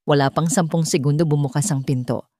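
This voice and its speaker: A Filipino woman narrates a dramatic audiobook story. Her voice sits low, and the recording is dry and close, with scripted phrasing throughout. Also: fairly even intonation, a little fast, warm tone, no room sound.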